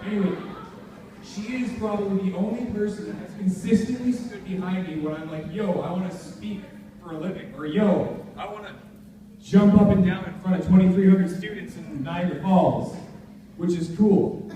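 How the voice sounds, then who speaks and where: A young man speaks in a high voice, delivering an emphatic, informal motivational speech. The sound is heavily echoey and captured from far off, as in a large hall. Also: normal speed, dark tone, a little hiss or hum. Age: young adult. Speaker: motivational speaker at a student leadership conference. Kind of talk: motivational talk to a live audience. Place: large indoor conference hall.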